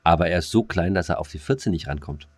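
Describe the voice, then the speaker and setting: A man's voice: deep voice, quick pace, a little mellow, breathy edge, no echo. A man chatting casually as a podcast co-host in a laid-back, slangy manner. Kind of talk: casual chat.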